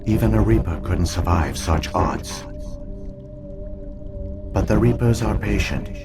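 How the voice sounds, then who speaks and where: A very low-voiced, ancient alien artificial mind played by a man explains things with grave logic in a video game. The delivery is formal, deliberate and solemn, with music clearly playing behind it. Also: close to an everyday voice. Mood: grave, measured. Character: ancient alien virtual intelligence, male, solemn and coldly logical. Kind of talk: scripted video game voice acting, exposition.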